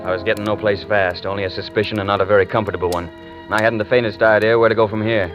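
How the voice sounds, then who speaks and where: An actor playing a world-weary, stubborn investigator in a radio drama, with a slangy, wry and terse delivery. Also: male voice, on the low side, steady tone, fast talker, lightly acted. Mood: wry, weary narration. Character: world-weary hard-boiled male investigator in love, stubborn. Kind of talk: 1940s radio drama acting, first-person narration. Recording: muffled old radio recording, music behind.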